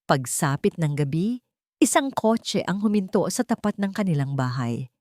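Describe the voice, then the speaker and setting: A woman reading a scripted dramatic story as its narrator, in a measured, solemn storytelling manner. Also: on the low side, sing-song, darkish tone, no room sound, clean studio sound.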